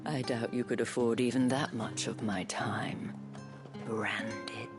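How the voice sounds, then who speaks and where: A woman playing a poised, teasing and shrewd madam in a video game, with breathy, deliberate delivery and refined airs. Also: extremely low pitch, steady tone, over music. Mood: haughty. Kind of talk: dramatic video game acting.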